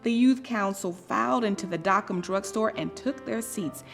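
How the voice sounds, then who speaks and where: A woman reading a children's story aloud in an expressive, scripted style, with deliberate dramatic emphasis and pauses. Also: mid-range voice, vocal fry, faint music behind.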